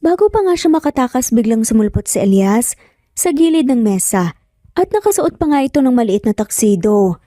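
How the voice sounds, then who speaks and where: A woman's voice: on the high side, sing-song, moderate pace, mellow, no echo, studio quality. A woman narrating a romance story, acting out the dialogue as she goes. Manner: acts out dialogue between narrated lines. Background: background music.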